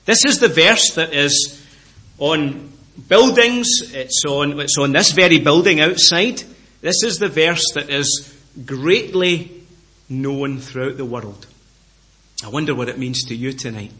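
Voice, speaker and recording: A middle-aged man from Scotland with a Scottish accent, preaching a sermon in an earnest way, repeating phrases and slowing down to stress key words. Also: fairly high pitch, bright, forceful tone.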